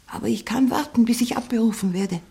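A woman playing a sharp-tongued, haughty old aunt, frail but proud, in a 1960 German radio play. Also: a little high, natural voice. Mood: haughty, weary. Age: older. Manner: haughty, mocking, deliberate.

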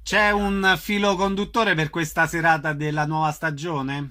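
A man's voice: on the high side, fairly even intonation, normal speed, pushed and bright. A man hosting a radio show, interviewing guests in a casual style.

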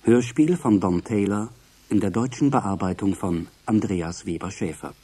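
A man making a measured, formal radio announcement of a radio play. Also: lowish voice, dark tone, a little hiss or hum. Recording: announcement over clear music.

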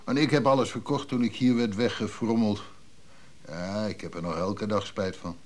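An actor playing a gruff, sly old craftsman in a care home in a Dutch radio play, blunt and grumbling with a chuckle. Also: fairly low pitch, fairly even intonation, moderate pace, a little mellow, natural voice. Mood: grumbling, fond. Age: older.

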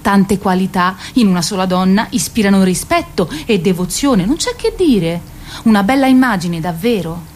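Woman acting mythic Greek queen, weary, proud, ironic, declamatory, radio play monologue, medium pitch. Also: expressive, normal speed, a little mellow, slight background noise, natural voice. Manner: declamatory, ironic, lingering on repeated words. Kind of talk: theatrical radio drama monologue.

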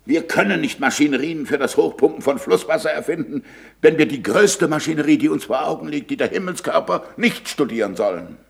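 A man's voice: a little high, expressive, brisk, vocal fry. A man acting an impassioned, sarcastic middle-aged scientist in a German radio play, swinging between declamation and muttering. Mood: indignant, impassioned.

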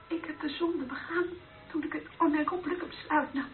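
Anguished young nurse, high tinny voice. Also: female voice, fairly even intonation, fairly quick. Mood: resolute anguish. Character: anguished, resolute young female nurse confessing a mortal sin. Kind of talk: Dutch radio play acting. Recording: tinny old radio play recording.

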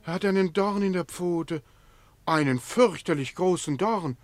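A man's voice: high voice, measured pace, dry recording. An actor in a radio play playing a meek, tender-hearted man who coos silly rhyming baby talk to a lame lion. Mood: pitying, cooing. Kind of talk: theatrical radio play acting.

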